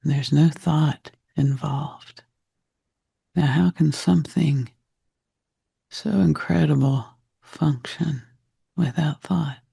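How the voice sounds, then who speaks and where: A man leads a guided meditation in a very dark, mellow voice with a gravelly rasp. He speaks slowly and gently, setting out short reflective phrases with care. The tone is soft and husky, sometimes sinking near a whisper. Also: fairly high pitch, no echo, studio quality.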